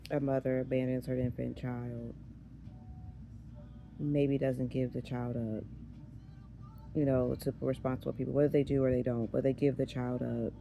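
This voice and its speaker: A woman in her thirties with a hoarse, raspy voice, chatting casually in a vlog and often losing her train of thought. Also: very deep voice, warm tone, a little hiss or hum. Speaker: vlogger. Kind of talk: casual chat.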